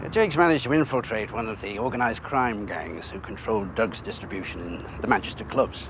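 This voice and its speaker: An actor playing a terse, decisive senior police detective who speaks with authority in a naturalistic crime drama. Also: male voice, lowish voice, brisk, background noise, natural voice. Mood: briefing.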